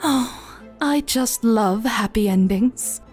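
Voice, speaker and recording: A soft-hearted good fairy, voiced by a woman in a fairy-tale audio drama. She gushes tearfully in a fairly high, slow voice, with faint music behind her. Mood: tearful, happy. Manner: gushing, tearful.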